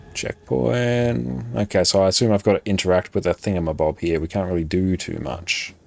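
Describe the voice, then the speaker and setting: A young Australian man with a soft, slightly British-sounding accent, chatting casually and reading chat aloud during a live game stream. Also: low-pitched, normal speed, vocal fry. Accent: Australian, described as a softer, British-sounding one. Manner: relaxed, friendly, chatty banter. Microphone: desk streaming microphone.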